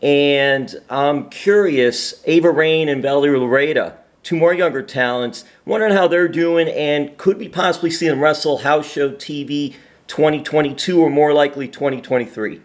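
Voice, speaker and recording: Slightly high-voiced male reporter on a call. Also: moderate pace. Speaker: journalist asking a question. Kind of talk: press question on a conference call. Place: conference call.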